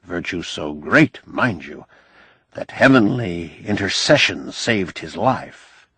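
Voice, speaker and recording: A man's voice: deep voice, lively intonation, takes it slowly, pushed and bright, slightly rough, no room sound, heavily acted. A man voicing a cantankerous, learned older man in an audiobook, lecturing in a wry, pedantic, argumentative way. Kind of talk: audiobook character dialogue.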